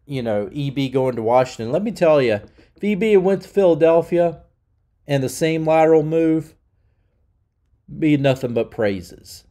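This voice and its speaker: A man's voice: on the high side, animated, normal speed, warm tone. A middle-aged man hosting his own channel, ranting with heat and emphasis, sounding nasal from being sick. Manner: heated, emphatic. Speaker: channel host. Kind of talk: opinion rant.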